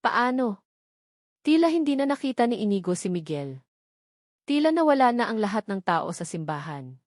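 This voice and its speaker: A woman's voice: fairly high pitch, sing-song, normal speed, a little mellow, no room sound, studio quality. A woman reading a scripted romance story as a dramatic narrator.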